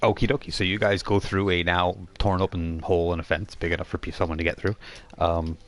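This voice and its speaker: A man acting as game master on a live stream, narrating informally and haltingly as he thinks aloud. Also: low-pitched, flat delivery. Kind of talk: narrating a live online tabletop role-playing game. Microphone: voice chat microphone on a live stream.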